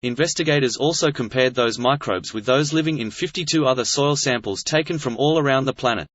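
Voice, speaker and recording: A man's voice: medium pitch, brisk. A man reading a scripted practice passage aloud in a dull, lo-fi recording. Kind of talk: scripted read-aloud of exam practice text. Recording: dull lo-fi sound.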